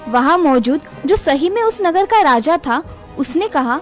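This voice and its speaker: A woman's voice: sits high, animated, unhurried, soft background music. A woman reading a scripted moral tale for children as the narrator.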